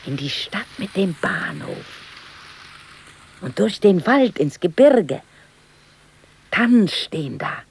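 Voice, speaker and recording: Slow, husky, lonely older mother. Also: lowish voice, very animated, heavily acted. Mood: dreamy. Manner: halting, hushed, hesitant inner monologue. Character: wistful, lonely mother in cheap shoes, yearning. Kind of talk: 1960s German radio drama monologue.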